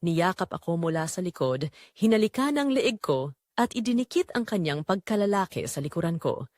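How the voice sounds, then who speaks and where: A woman's voice: on the low side, sing-song, moderate pace, a little mellow, no room sound, studio quality. A woman playing a guilty, secretly tempted married mother who narrates her own story in an audio drama. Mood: longing, confessional. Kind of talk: first-person scripted audio drama narration.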